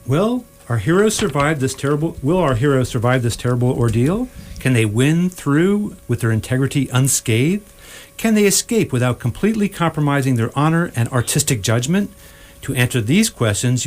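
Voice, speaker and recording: A man's voice: medium pitch, lively intonation, moderate pace, a little mellow. Guy announcing a radio play, mid-range voice, kinda formal and theatrical. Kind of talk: scripted announcement.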